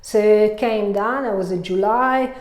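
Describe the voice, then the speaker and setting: A woman's voice: mid-range voice, slow pace, a little mellow. A woman from Hungary with a Hungarian accent, a mountain bike coach chatting casually in an interview, laughing often and filling her talk with "you know". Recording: echoey room.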